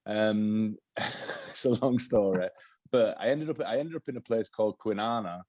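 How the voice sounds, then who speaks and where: A man in his forties with a broad Yorkshire accent and a very low voice. He talks casually as an interview guest, stumbling over restarts and repeating words as he goes. The sound is dry and close, with no room around it. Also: normal speed, a little mellow, no echo. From Yorkshire, England. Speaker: TV director, guest.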